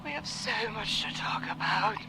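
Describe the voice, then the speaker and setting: An actress playing an eerie, lonely, lovesick woman in a horror audio drama, intimate and breathy, often whispering. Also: fairly high pitch, animated, noisy background, close to an everyday voice. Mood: yearning, overjoyed. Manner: slow, intimate, theatrical. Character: eerie, lonely, lovesick woman named Edith, clinging and doting.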